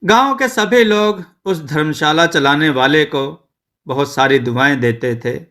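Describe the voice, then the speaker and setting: A man narrating a scripted moral story in a measured, clearly enunciated storytelling manner. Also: on the high side, fairly bright tone.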